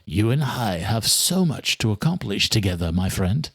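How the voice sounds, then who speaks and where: A man's voice: fairly low pitch, big pitch swings, moderate pace, fairly bright tone, breathy edge, no echo, full character voice. Man playing an arrogant, scheming vampire lord. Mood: triumphant. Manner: commanding, haughty. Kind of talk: audiobook character voice.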